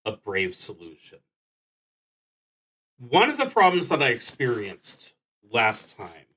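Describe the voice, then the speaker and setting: A man with a mid-pitched voice talks his way through a solo tabletop game on a livestream. His delivery is slow and deliberate, unscripted, often halting and restarting as he thinks out loud. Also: poor audio. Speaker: solo roleplaying game streamer.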